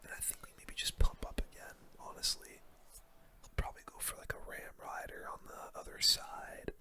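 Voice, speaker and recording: A gamer giving ASMR game commentary in a breathy, unvoiced whisper, with casual slang. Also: bright, forceful tone. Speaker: gaming video creator.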